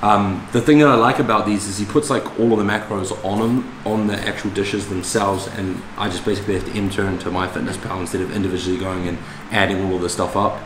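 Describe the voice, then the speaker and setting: A man's voice: deep voice, steady tone, fast, few pauses. A young man chatting casually to camera as a fitness vlogger, with blokey, slangy, sweary talk. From New Zealand. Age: young adult. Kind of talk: casual vlog chat to camera. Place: indoors in kitchen, living room and gym. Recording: echoey room with background noise.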